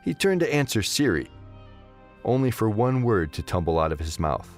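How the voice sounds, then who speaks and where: A man narrating a scripted story in an expressive storytelling manner. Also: fairly low pitch, moderate pace, faint music behind.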